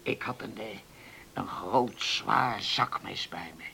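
Radio play: garrulous old islander, gravelly and bright, folksy, halting, noisy old recording. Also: male voice, on the low side, full character voice. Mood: animated storytelling. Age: 70s. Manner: folksy, halting, repeats himself, verbal tics. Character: old Dutch islander man, garrulous, reminiscing storyteller. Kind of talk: radio drama acting.

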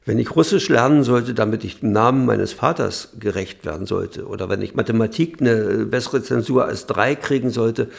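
A man's voice: mid-range voice, vocal fry. A man speaking plainly and frankly as he recalls his childhood. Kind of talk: spoken reminiscence.

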